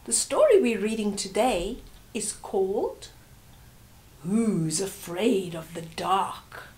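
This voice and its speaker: A woman's voice: medium pitch, lively intonation, takes it slowly, a little mellow. An older woman hosting a story time, reading aloud expressively and explaining things to young children.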